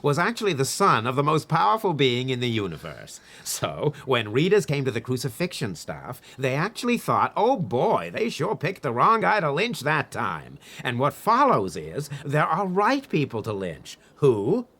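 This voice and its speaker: An actor playing a sardonic, talkative cynic in a radio drama, telling things in a wry, emphatic, theatrical way. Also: male voice, mid-range voice, huge pitch swings, normal speed, hardly any pauses, fairly bright tone, exaggerated voice. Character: sardonic, talkative cynical man expounding his opinions.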